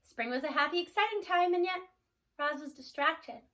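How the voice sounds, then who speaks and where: A woman's voice: high voice, animated, normal speed, bright, forceful tone. A woman narrating a children's storybook read-aloud.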